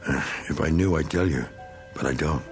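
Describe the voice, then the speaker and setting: Kalın sesli, alaycı savaş gazisi. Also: male voice, a bass voice, normal speed, soft background music. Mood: defiant, uneasy. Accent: American. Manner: gruff, sarcastic, needling. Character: bitter, taunting American war veteran with a guilty secret. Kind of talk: radio drama acting.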